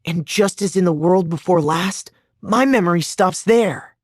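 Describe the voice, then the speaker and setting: An actress playing an earnest young heroine who leads a party of adventurers in a video game, composed and questioning. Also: female voice, lowish voice, a little slow, breathy edge, no echo, studio quality. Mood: concerned, resolute.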